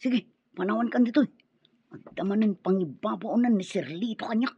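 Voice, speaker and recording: A man's voice: sits high, moderate pace, mellow, slightly rough, dry recording. A man playing a submissive young henchman with an Ilocano accent in a radio drama, deferential in manner. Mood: reassuring. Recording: dull, lo-fi radio drama audio.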